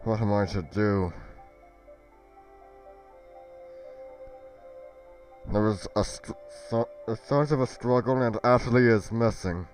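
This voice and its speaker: A man playing a troubled, earnest adventurer hero in a game roleplay drama, musing aloud in a halting, theatrical way. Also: on the low side, faint music behind, lightly acted. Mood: brooding, distressed. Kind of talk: dramatic Minecraft roleplay acting.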